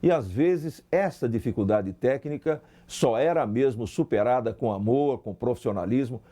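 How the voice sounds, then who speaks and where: A man's voice: mid-range voice, normal speed, mellow. A Brazilian man, a sports broadcaster, recalling past work in an interview in a reflective way with emphatic lists. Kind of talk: interview recollection.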